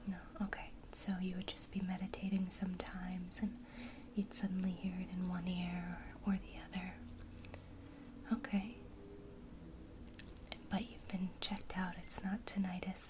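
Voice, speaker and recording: A woman whispering softly and breathily in a gentle, encouraging way, as a teacher in an ASMR one-to-one lesson. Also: fairly low pitch.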